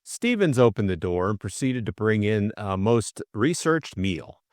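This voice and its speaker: Male audiobook narrator, low-ish and lively. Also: measured pace, no room sound, studio quality. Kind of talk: scripted storybook narration.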